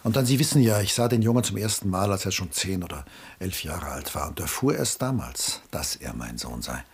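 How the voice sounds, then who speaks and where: An actor playing an embittered, lonely middle-aged artist in a mid-century German radio play, melancholy and brooding, with a husky voice and hushed drops. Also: male voice, sits low, lively intonation, brisk, heavily acted. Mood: subdued, reflective. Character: Julian, embittered aging artist, lonely, self-pitying father.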